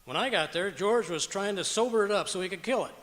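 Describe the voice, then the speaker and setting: A man's voice: sits high, fast talker, a little hiss or hum, close to an everyday voice. A man playing a sarcastic, grumbling husband who delivers sardonic punchlines in an old-time radio comedy on stage. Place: stage of a performing arts auditorium. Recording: echoey auditorium with long reverb.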